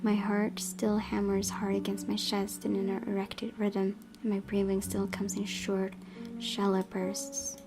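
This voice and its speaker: A woman gaming host reading horror visual novel narration aloud with dramatic emphasis, often dropping into hushed, breathy tones. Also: medium pitch, monotone, unhurried. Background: game music.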